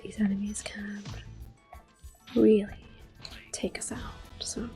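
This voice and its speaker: A woman giving hushed, unhurried ASMR commentary on a video game as she plays it, speaking in soft whispers. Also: medium pitch, flat delivery, faint music behind. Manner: hushed, unhurried narration of own play. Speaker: ASMR gameplay host.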